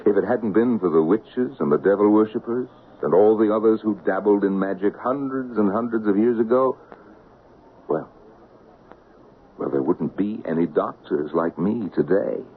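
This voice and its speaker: A man playing a deep, coaxing doctor. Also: normal speed, slight background noise, lightly acted. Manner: gentle, coaxing, lilting storyteller delivery. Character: soothing, patronizing middle-aged male doctor. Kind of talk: 1970s radio drama acting. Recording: tinny old radio broadcast.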